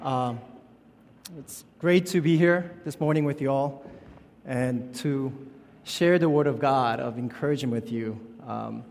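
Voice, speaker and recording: A man in his thirties, from South Korea, preaching as a youth pastor in a halting, informal, friendly way. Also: medium pitch, creaky, a little mellow, slight background noise. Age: 30s. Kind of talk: sermon. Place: church sanctuary. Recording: long church reverb.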